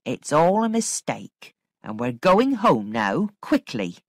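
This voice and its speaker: Woman putting on a low, slow older housekeeper. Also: sing-song, dry recording, studio quality, exaggerated voice. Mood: firm, soothing. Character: stern, troubled older housekeeper woman, protective. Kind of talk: dramatic audiobook character acting.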